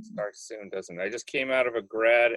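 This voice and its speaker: A man's voice: fairly low pitch, moderate pace, a bit bright, no echo. A man in his forties co-hosts a casual podcast chat. He is friendly and joking. The sound is dry and close. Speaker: podcast co-host, professor.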